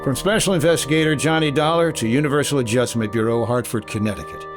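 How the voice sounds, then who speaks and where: A man's voice: medium pitch, fast, over music, close to an everyday voice. A man playing a wry, businesslike insurance investigator in the clipped style of 1950s radio detective drama. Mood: crisp narration.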